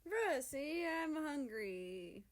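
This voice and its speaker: Storybook lovestruck young man, woman performing, cute, whiny, giggly, slow and highish. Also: very animated, full character voice. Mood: whining.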